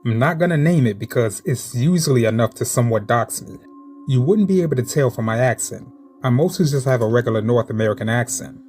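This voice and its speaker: A man narrating true-encounter horror stories in a steady, measured reading voice. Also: mid-range voice, soft background music. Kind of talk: scripted horror story narration.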